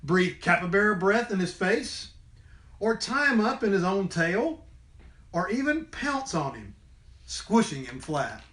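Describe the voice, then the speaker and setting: High-voiced man reading a storybook aloud. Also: very animated, measured pace, fairly bright tone. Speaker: storybook reader, teacher. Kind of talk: expressive children's read-aloud. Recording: roomy indoor echo.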